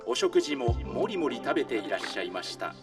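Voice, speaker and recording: A man's voice: medium pitch, huge pitch swings, fairly quick, distant mic, music in the background. A man playing a polite, formal public-address announcer in an anime audio drama. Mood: formal, upbeat. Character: public-address announcer of a high-tech kingdom.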